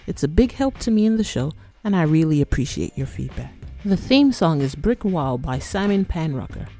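A podcast host with a mid-pitched, mellow voice that often drops into creaky fry. The delivery is scripted but conversational and playful, like an informal explainer, with faint music underneath. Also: big pitch swings, normal speed. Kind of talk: scripted educational narration.

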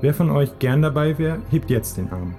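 A man's voice: a little high, expressive, moderate pace, dark tone. A man narrating a scripted audio play, addressing the listener directly. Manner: direct address with questions and instructions. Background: music.

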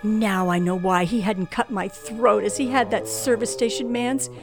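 A woman's voice: medium pitch, fairly even intonation, normal speed, natural voice. An actress playing a frightened woman narrating her own ordeal in a 1940s-style radio thriller, dramatic and suspenseful. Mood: anxious. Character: frightened young woman narrator held captive by killer. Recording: old radio drama with music behind.

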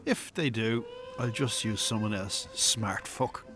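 A man's voice: on the low side, takes it slowly, music in the background. A man playing a callous Irish pub owner in a radio drama, dismissive and defiant.

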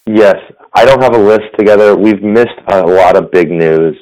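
A slightly hoarse man hosting a casual tech podcast over a phone line, rambling with fillers and restarts. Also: on the low side, fairly even intonation, moderate pace, a little mellow. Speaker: podcast host. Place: business center. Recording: phone-quality audio.

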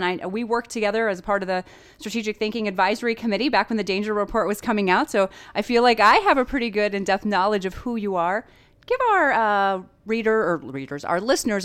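A woman's voice: mid-range voice, fairly bright tone. A woman with a mid-range voice hosting a podcast. She interviews her guest in an upbeat, chatty way. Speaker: podcast host. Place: conference venue.

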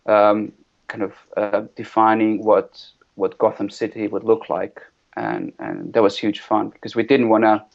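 Bosnian-born guy chatting on a podcast, gravelly voice, kinda muffled sound. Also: lowish voice. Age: middle-aged. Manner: halting, many restarts and repeated words. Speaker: guest concept artist. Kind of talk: casual interview chat. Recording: lo-fi, muffled audio.

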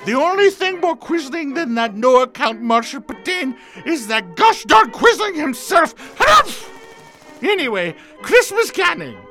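A man's voice: extremely high pitch, sing-song, a little slow, bright, forceful tone, faint music behind, exaggerated voice. A man voicing a flamboyant homemaker chef with a secretly fierce streak, theatrical and exclamatory, in a parody radio cooking show. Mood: manic, cheery.

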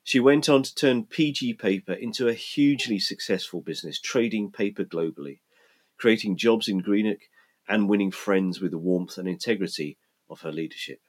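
A man hosting a podcast, reading his scripted links in a measured, reflective way, with an English-sounding accent despite growing up in Scotland. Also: on the low side. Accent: English-sounding, not Scottish. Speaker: podcast host.

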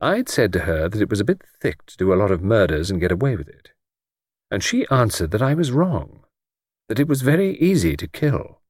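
A man's voice: medium pitch, wildly swooping pitch, a little fast, no echo, studio quality. A man voicing an earnest, thoughtful amateur sleuth in audiobook dialogue, a hearty, slangy male friend. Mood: pensive, uneasy.

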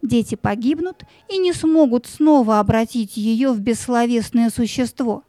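High, mellow woman storyteller. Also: moderate pace, no echo. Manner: expressive, suspenseful. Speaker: narrator. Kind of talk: dramatic scripted storytelling.

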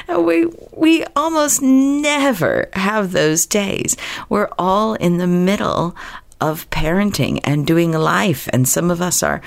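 A woman hosting a podcast while sick, her voice husky and deeper than usual, chatting warmly with laughs and restarts. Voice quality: husky low voice. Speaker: podcast host. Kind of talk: casual podcast talk.

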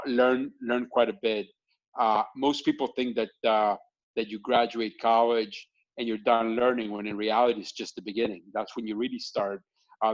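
A man from Colombia telling his story as an interview guest, talking casually and haltingly with many fillers and restarts. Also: fairly low pitch, muffled recording. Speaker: guest entrepreneur. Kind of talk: casual interview on a video call.

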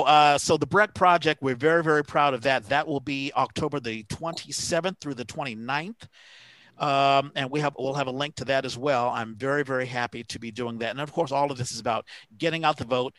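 A man co-hosting a casual talk show, reading out shout-outs and announcements in a halting way as he searches for details. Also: medium pitch, steady tone, no echo, rough recording. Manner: halting, pausing to find names and details. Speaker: podcast co-host.

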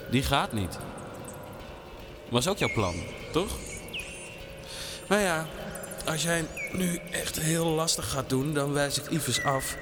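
An actor playing a defiant, taunting younger rebel in a dystopian audio drama. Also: male voice, medium pitch, lightly acted. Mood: menacing, taunting. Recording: long cavernous reverb, clear background noise.

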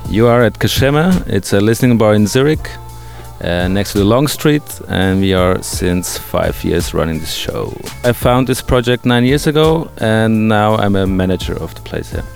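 A man's voice: fairly low pitch, expressive, moderate pace, creaky, soft background music. A man who manages a bar, giving brief and plain answers as an interview guest. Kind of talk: interview conversation.

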